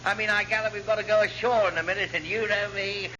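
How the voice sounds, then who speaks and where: Rambling, high-voiced posh English commander. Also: male voice, fairly even intonation, quick pace, bright, forceful tone. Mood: cheerfully befuddled. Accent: upper-class English. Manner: rambling, absent-minded, chuckling. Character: amiable, bumbling, tipsy-sounding accident-prone posh male commander. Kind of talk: classic British radio sitcom acting. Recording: muffled, noisy vintage radio recording.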